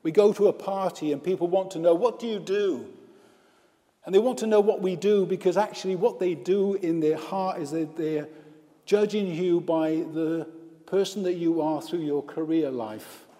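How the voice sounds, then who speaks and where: Man, priest, sermon, slightly high voice, emphatic repeated lists, reverberant church. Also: moderate pace, mellow. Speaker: priest and hospital chaplain. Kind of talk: sermon at a baptism.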